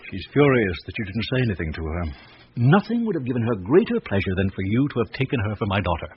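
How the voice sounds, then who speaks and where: A man playing a courteous, erudite, middle-aged gentleman with an obsession, speaking deliberately and at length in a radio drama. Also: fairly low pitch, very animated. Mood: dryly amused. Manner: genteel, probing, deliberate, loquacious. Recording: dull old radio recording, faint noise.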